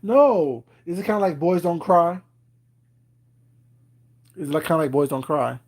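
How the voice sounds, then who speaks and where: A man's voice: a little high, lively intonation, measured pace, a little mellow. A man, chatting casually on a live stream, rambling with lots of fillers and laughing often. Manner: rambling, many fillers and "you know what I'm saying"; laughs often, teasing viewers. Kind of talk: casual chat.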